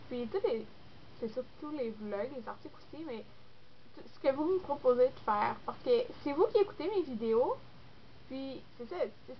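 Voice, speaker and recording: A young woman with a Québécois French accent, congested with a cold, chatting casually and rambling with lots of fillers in a vlog. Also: fairly high pitch. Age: young adult. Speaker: beauty vlogger. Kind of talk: casual chat to camera. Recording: dull lo-fi recording with faint noise.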